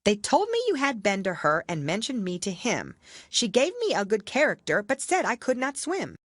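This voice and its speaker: A woman's voice: mid-range voice, huge pitch swings, normal speed, no room sound, clean studio sound, pitched above the performer's own voice. A woman voicing a nervous, deferential male rabbit herald in a storybook, with formal, careful delivery. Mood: reciting. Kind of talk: storybook character reading.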